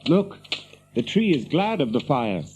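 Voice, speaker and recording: An actor playing a gentle, calm and friendly companion in a fantasy radio drama, with formal phrasing. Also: male voice, a little high, measured pace, dull audio, a little hiss or hum. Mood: gentle, wondering.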